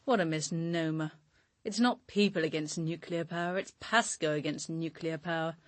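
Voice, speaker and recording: Scornful, low-voiced professional woman, played by an actress. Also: normal speed, no echo, natural voice. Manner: crisp, scornful, insistent. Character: scornful, unyielding professional woman, proud administrator. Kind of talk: radio drama acting.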